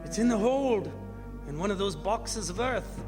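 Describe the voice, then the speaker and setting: Man acting high-pitched, frightened ship's mate. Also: animated, a little slow. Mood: haunted, frantic. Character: frightened, superstitious ship's mate, grimly obsessed. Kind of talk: old-time radio drama acting. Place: performing arts theatre stage. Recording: stage hall reverb with loud music.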